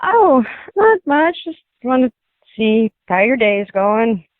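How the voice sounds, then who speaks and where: A woman speaks as a caller on a radio show, in an emotional personal exchange. Her voice is high, and it comes through a narrow, dry telephone line. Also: very animated, slow pace, dry recording. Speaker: caller, wife on a radio prank call. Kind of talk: emotional phone confrontation. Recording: dry, muffled phone line sound.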